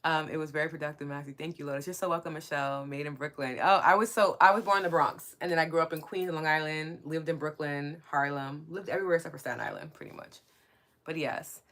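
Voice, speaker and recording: A woman's voice: deep voice, brisk. A woman with a strong New York accent hosting a live spiritual podcast, chatting and reading out listener comments. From New York City. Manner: warm, chatty, reads out listener comments and thanks them. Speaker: podcast host and coach.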